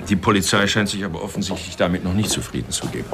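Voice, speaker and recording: A man's voice: low voice, expressive, fast, creaky, fairly bright tone, slight background noise, exaggerated voice. An actor playing a courteous, uneasy businessman in a radio crime drama, polite and slightly hesitant.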